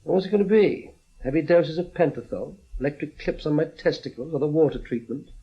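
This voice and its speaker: A man's voice: fairly high pitch, lively intonation, fairly quick, breathy edge. Muffled, defiant male intelligence officer. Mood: dryly defiant. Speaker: actor in a radio drama. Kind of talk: radio drama acting.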